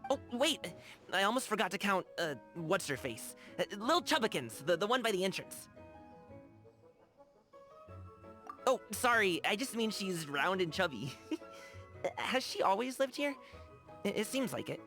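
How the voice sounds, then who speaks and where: Animated, eager young man over music. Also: high voice, exaggerated voice. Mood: playful, sheepish. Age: young adult. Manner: casual, chatty, slangy, eager. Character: cheerful, earnest young male lion-dancer and tea lover. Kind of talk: animated video game character dialogue.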